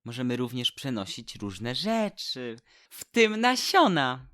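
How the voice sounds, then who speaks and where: An actor playing an earnest, halting young boy statue who makes peace, in a radio play. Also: mid-range voice, sing-song, a bit bright, no echo, clean studio sound.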